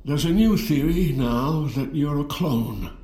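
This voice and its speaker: An actor playing an aged, weary twin brother in an audio drama, speaking in a halting, wry, reflective way. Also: male voice, on the high side, lively intonation, mellow, roomy sound. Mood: measured, wry. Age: older. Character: aged, weary twin brother reunited with his lost sibling.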